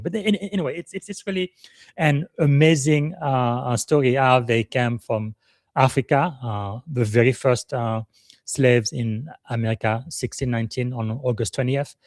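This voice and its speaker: A man hosts a live podcast, talking earnestly and emphatically with frequent pauses to search for words. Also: medium pitch, a little mellow, dry recording. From Republic of the Congo. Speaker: podcast host and leadership coach.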